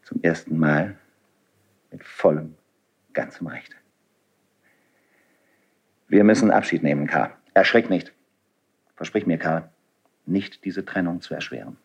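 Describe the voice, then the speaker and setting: Devoted noble friend, classical radio drama, low husky voice, declamatory, hushed to fervent. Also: male voice, a little slow, long pauses, dull audio, exaggerated voice. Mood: tender, solemn. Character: noble, self-sacrificing male friend of a prince, fervent and confessional. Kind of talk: classical German stage verse radio drama acting.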